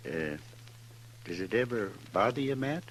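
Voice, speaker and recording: A man's voice: lowish voice, expressive, a little slow, fairly bright tone. An actor playing an anxious, plain-spoken American frontier man in a 1950s radio western, folksy and full of questions. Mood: uneasy, doubtful. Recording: noisy 1950s radio recording.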